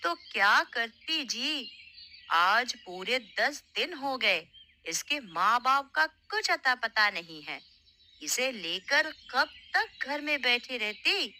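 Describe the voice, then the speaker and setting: A woman voicing a kind, tender village woman in an expressive, melodramatic cartoon storytelling style. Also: on the high side, slow pace, exaggerated voice. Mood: insistent. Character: kind, tender village woman who adopts an abandoned baby girl. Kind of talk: dramatised children's moral story narration.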